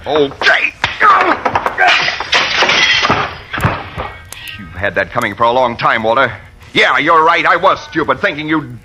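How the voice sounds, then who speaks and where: A man's voice: medium pitch, moderate pace, slightly rough. An actor playing a guilty, tense man on the run in a hard-boiled 1940s radio thriller, faltering when nervous. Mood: bitter rage. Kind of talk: 1940s radio thriller acting, first-person narration. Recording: vintage radio with loud dramatic music.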